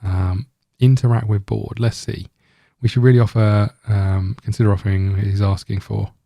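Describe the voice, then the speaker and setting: A man's voice: on the low side, little pitch movement, moderate pace, creaky, warm tone, no echo. A man hosting a gaming video, giving casual let's-play commentary in a rambling, thinking-aloud way.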